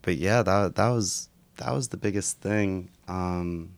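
Deep gravelly-voiced man, congested. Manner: thoughtful, halting, with many restarts and fillers. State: congested and sniffling. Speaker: guest, yoga and breathwork teacher. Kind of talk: reflective conversational interview.

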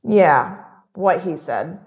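An actress playing a blunt, dry government enforcer who speaks informally to recruits in an audio drama. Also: female voice, on the low side, expressive, extremely slow, a little mellow, heavily acted. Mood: dry, impatient.

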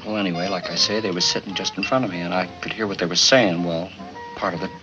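Meek tired husband, plaintive and wounded, 1950s film noir, dull old soundtrack, clear film score. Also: male voice, low voice, fairly even intonation, fast talker, sharp tone. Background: film music.

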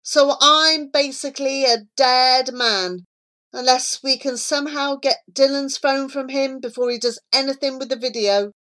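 A woman voicing an anxious, excitable schoolboy who pleads and exclaims in a children's story. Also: sits high, measured pace, pitched above the performer's own voice. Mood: worried.

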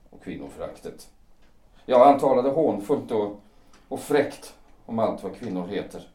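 A man playing a wounded, jealous fifty-year-old former lover in a period audio drama, brooding and halting, often repeating his words. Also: on the low side, lively intonation, warm tone, recorded from a distance, close to an everyday voice. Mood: bitter, pleading. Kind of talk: period stage-play audio drama acting. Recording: roomy echo, away from mic.

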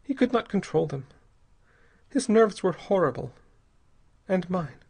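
A man voicing a terrified, despairing young married woman in an audiobook story, with a breathy, halting, pleading delivery. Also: high voice, sing-song, a little mellow, voice pushed up, heavily acted. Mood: anguished recounting. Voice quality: breathy, gasping.

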